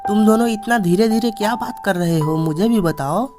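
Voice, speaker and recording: A man's voice: high voice, moderate pace, lightly acted. Gloating giant monster crow, acted by a man. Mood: taunting, nosy. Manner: menacing, gloating villain delivery. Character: giant scheming monster crow, gloating would-be forest king. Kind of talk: Hindi children's cartoon voice acting. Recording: music behind the voice.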